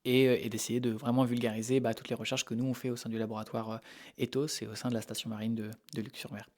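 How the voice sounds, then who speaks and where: A man from Normandy speaks as an interviewed researcher. His delivery is rapid and informal, in a mid-range voice. He explains things hesitantly, often doubling back on his words. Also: medium pitch, little pitch movement, darkish tone. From Normandy, France. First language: French. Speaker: interview guest, animal behaviour researcher.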